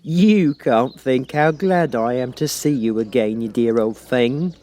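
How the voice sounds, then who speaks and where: Man putting on a high, gushing, pompous old Duchess. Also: mellow, lightly acted. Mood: affectionate. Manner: cloying, pompous, drawn-out exclamations. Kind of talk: audiobook character voice.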